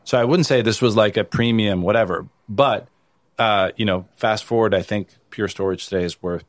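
A man from Italy answering interview questions in a low, loose conversational voice. He restarts phrases often and leans on "you know." The sound is dry and close. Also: moderate pace, no room sound. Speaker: venture capital investor, guest.

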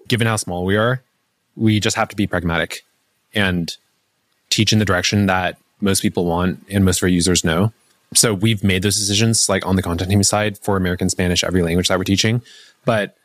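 A man answering interview questions in a measured, explanatory way, with many "like" fillers. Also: low-pitched, a bit bright, dry recording. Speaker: language learning app founder.

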